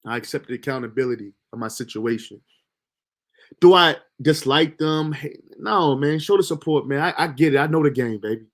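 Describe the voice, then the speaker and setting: A man's voice: mid-range voice, a little fast. Young male streamer, mid-range voice; casual, profane, self-motivating monologue. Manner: loose, slangy, motivational self-talk with profanity. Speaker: livestream host.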